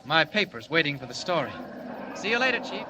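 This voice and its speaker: An actor playing a polite, earnest young reporter, deferential in manner, in a 1940s radio serial. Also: male voice, on the high side, big pitch swings, fairly quick, rough recording. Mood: polite, assured. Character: polite, eager young male reporter hiding a secret. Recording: old radio recording with faint noise.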